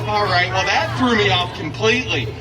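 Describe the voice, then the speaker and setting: A man's voice: high-pitched, normal speed, over loud music. A man playing an irreverent superhero tells a comic story live to an outdoor crowd, sarcastic and mock-dramatic, recorded at a distance. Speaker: costumed theme park stage storyteller. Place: outdoor theme park show, music and crowd behind. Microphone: amplified show voice filmed from the audience.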